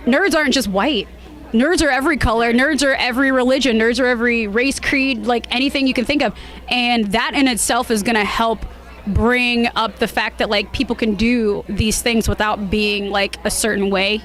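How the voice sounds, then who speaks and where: A woman giving a casual interview as a cosplayer, with a bubbly, expressive way of talking. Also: a little high. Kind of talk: casual interview chat at a convention.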